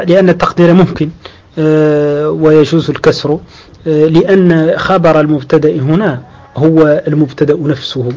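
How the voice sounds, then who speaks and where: A man teaching Arabic grammar, explaining slowly with drawn-out pauses and restarts, and reciting quoted verses. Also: on the high side, darkish tone, highs cut off. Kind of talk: lecture explaining a grammar text.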